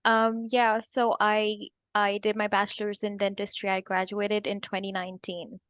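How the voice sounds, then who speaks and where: A woman's voice: mid-range voice, level tone, normal speed, a bit bright, clear, resonant tone, no echo, muffled recording. A woman in her twenties from Pakistan, answering interview questions plainly and hesitantly with fillers. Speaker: remote dentist employee. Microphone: video call.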